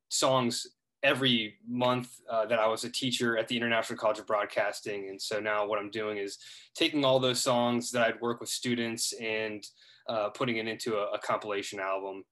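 A man's voice: lowish voice, fairly even intonation, normal speed, fairly bright tone. A man in his thirties from Ohio, a guest in a casual interview, talking earnestly with lots of hesitations. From Ohio, United States. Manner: earnest, hesitant, many fillers and restarts.

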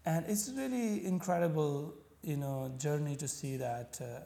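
A man from Pakistan with a Pakistani accent and Punjabi as his first language, speaking haltingly as a podcast guest. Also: on the high side, creaky, mellow. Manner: halting, searching for words, frequent fillers. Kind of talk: conversational interview.

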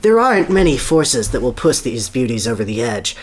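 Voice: medium pitch, very animated, brisk, slightly rough. A podcast reader voicing an earnest, boastful scientist doctor who explains a grand scheme at length. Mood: earnest, grave. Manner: boastful, expository.

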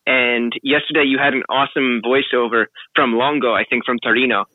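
Co-host on a tinny, phone-like line. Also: male voice, mid-range voice, steady tone, brisk, a little hiss or hum. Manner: casual, uses "like" often. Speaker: podcast co-host. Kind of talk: casual podcast chat.

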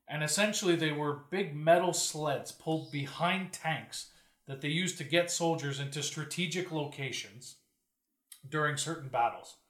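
A man's voice: on the high side, moderate pace, a bit bright. A Canadian man chatting casually on a podcast as he puts questions to others, informal and loose with his language. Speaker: podcast co-host asking questions. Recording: roomy echo.

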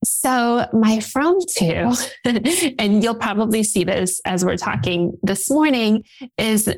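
A woman whose first language is Russian, an executive coach talking as a guest in an energetic, chatty way, laughing often and using many fillers. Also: a little high, takes it slowly. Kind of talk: casual interview talk.

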